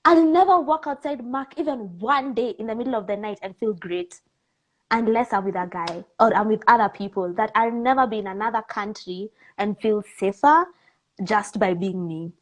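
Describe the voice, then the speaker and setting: Woman, Kenyan accent, mid-range voice, candid, expressive, informal conversational interview. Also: mid-range voice, normal speed, poor audio. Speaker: interview guest, musician.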